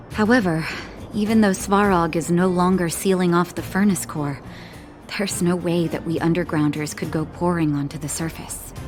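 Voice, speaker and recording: Game resistance leader, actress: lowish female voice, calm, gracious, assured, faint music. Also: close to an everyday voice.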